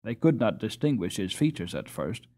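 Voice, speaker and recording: A man reading a children's novel aloud as an audiobook narrator, in an expressive, dramatised way. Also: on the low side, moderate pace. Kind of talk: scripted storybook narration.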